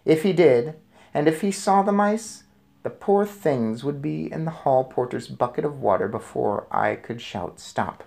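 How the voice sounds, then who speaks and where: A man narrating a children's storybook aloud in an expressive read-aloud style. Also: medium pitch, measured pace, creaky.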